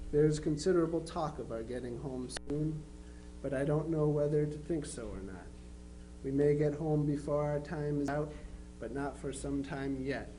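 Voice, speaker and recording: A man playing a plainspoken twenty-three-year-old Union soldier, reading his affectionate letters home in an unhurried, matter-of-fact way. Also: fairly high pitch, dark tone, close to an everyday voice. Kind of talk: dramatized reading of historical letters. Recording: faintly noisy live recording with hum.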